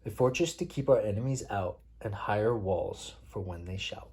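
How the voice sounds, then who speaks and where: A man reading picture books aloud as a father at bedtime, playfully pausing to chat with his child. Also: on the low side, darkish tone, slight background noise. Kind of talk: read-aloud picture book storytelling mixed with casual chat.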